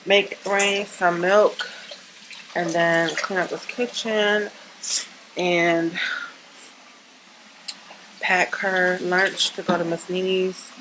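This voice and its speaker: A woman in her thirties with a stuffy, congested nose, chatting casually in her home kitchen for a vlog, rambling with fillers and clearing her throat. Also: fairly low pitch, little pitch movement, drawn-out words, pauses often, fairly bright tone. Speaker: vlogger. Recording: noisy home recording.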